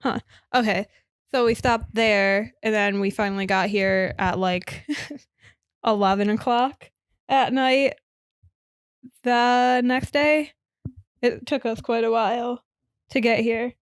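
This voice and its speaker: A woman's voice: fairly high pitch, steady tone, takes it slowly, pauses often, clean tone, no room sound, clean studio sound. A young woman from Michigan co-hosting a podcast, chatting casually with lots of fillers. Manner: chatty, recounting with many fillers. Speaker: podcast co-host. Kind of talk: casual chat.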